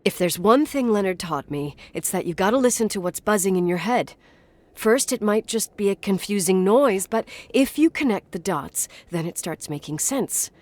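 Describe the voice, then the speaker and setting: Woman acting young female game heroine, mid pitch, inquisitive, uneasy, stubborn, earnest natural delivery. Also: expressive, moderate pace, dry recording, natural voice. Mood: determined, suspicious. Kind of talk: naturalistic video game dialogue.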